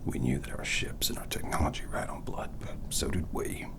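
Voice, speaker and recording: A man voicing a condescending immortal vampire doctor in a whispered, smugly explanatory ASMR roleplay aboard a spaceship. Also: natural voice. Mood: condescending, matter-of-fact. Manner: slow, hushed, smugly explanatory.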